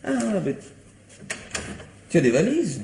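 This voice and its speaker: An actor playing a smooth, manipulative lover in a radio mystery, coaxing and insistent, slipping into commands. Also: male voice, a little high, huge pitch swings, takes it slowly, background noise, heavily acted. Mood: eager. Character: smooth, manipulative male lover greedy for valuables. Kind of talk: theatrical French radio mystery acting.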